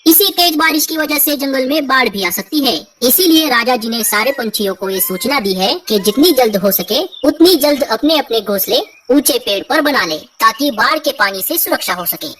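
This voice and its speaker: A woman's voice: sits high, lively intonation, moderate pace, hardly any pauses, a bit bright, a little hiss or hum. A woman voicing an urgent, official messenger bird making proclamations in a cartoon story. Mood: urgent announcing. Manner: proclaiming, announcing.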